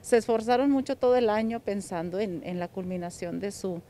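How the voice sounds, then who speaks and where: A woman teacher speaks earnestly to a reporter outdoors, holding up with small fillers. Her voice is mellow, and faint street ambience sits behind her. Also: mid-range voice, brisk, a little hiss or hum. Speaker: sixth-grade teacher. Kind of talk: street interview. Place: outdoors on a city sidewalk. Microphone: handheld reporter mic.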